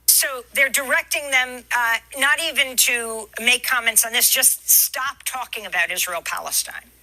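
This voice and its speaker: Woman, news interviewer, bright forceful tone, pointed questions. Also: fairly high pitch, measured pace, low-quality recording.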